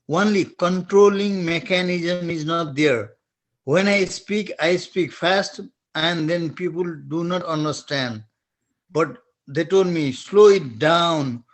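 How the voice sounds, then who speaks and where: This middle-aged man from Nepal talks in a slow, halting way, leaving gaps as he searches for the right word. His voice sits fairly high for a man, and his Nepali accent is strong. He keeps restarting his phrases as he asks a question on a webinar call. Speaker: webinar attendee asking a question.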